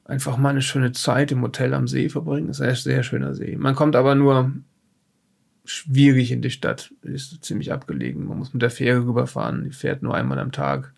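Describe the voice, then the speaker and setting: An actor playing a peevish, well-off scholar who survived a flood, speaking hesitantly and fussily in an improvised audio drama. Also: male voice, mid-range voice, expressive, moderate pace, vocal fry, a little mellow. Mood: wistful, hesitant. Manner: hesitant, fussy, snobbish.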